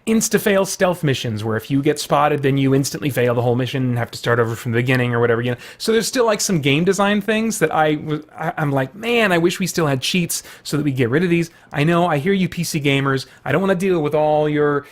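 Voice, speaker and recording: A man's voice: fairly high pitch, expressive, quick pace, hardly any pauses. A middle-aged man hosting a video with casual, rambling, enthusiastic chat full of loose asides. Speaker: video host.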